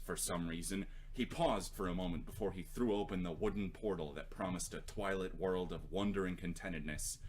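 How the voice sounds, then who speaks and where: Low-pitched young man, noisy recording. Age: 20s. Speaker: author reading own story aloud. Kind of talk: casual rambling talk, then reading own prose aloud.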